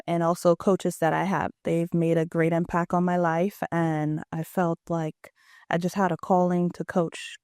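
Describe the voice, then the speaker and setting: A young woman from the Bahamas with a Bahamian accent, a track coach answering interview questions in an earnest, steady way. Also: low-pitched, clean tone, no room sound, studio quality. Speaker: head track and field coach, guest.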